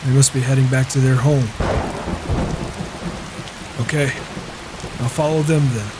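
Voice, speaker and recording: A man acts out a reclusive warrior in a fantasy game roleplay. He mutters wry observations to himself at a middling pitch. The home mic recording has clear noise behind it. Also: fairly even intonation, measured pace, natural voice. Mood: musing. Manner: musing to himself, muttered asides. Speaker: player roleplaying a character in a game. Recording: noisy, flawed home mic.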